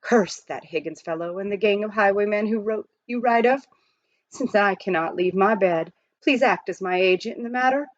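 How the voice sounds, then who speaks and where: A woman's voice: mid-range voice, normal speed, no echo, highs cut off, close to an everyday voice. A woman voicing an ailing male doctor in a formal, earnest letter within a storybook. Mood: pleading.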